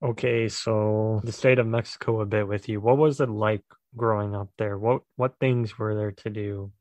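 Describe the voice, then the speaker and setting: Young american guy hosting a podcast on a call, lowish voice, lots of "like", dry close sound. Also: moderate pace, no room sound. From United States. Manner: relaxed, filler-heavy questioning. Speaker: podcast host. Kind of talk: casual podcast chat.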